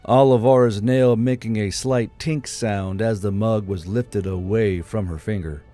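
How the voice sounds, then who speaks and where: A man's voice: on the low side, a little slow, mellow, faint music behind. A man narrating a fantasy audiobook from a script.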